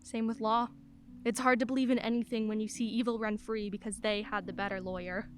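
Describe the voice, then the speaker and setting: A woman's voice: a little high, little pitch movement, a little fast, lightly acted. An actress playing a wry, candid single mother in her forties in a radio play, a lawyer who laughs easily while talking. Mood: conversational, wry.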